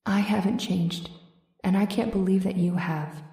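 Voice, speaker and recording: A devoted young sweetheart played by a woman reads her letter in an earnest, tender radio-drama style. Her voice is soft-edged and sits back from the mic in an echoing room. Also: mid-range voice, fairly even intonation, normal speed, creaky, natural voice. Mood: tender, wistful. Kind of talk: old-time radio drama acting, letter read aloud. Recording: roomy, distant from the mic.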